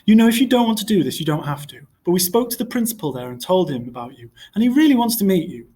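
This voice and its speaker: Guy doing a caring mum talking her kid round, storybook, high pitch, lively, slightly husky. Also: fast talker. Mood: reassuring. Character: caring, gently persuasive mother of a young boy.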